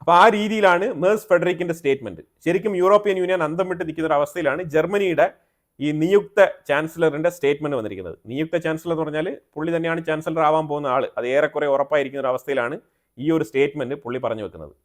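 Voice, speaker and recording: A man's voice: fairly high pitch, rapid-fire. A man with a Malayali accent presenting explanatory news commentary, often rephrasing himself and mixing in English terms.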